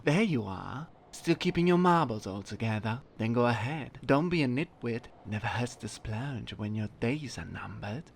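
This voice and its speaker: Creaky, gloating undead merchant. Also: male voice, medium pitch, a bit bright, pitched above the performer's own voice, full character voice. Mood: gruff, haggling. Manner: sneering, wheedling, slow drawn-out delivery. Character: sly, gloating, half-mad old undead merchant. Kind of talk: video game character voice acting.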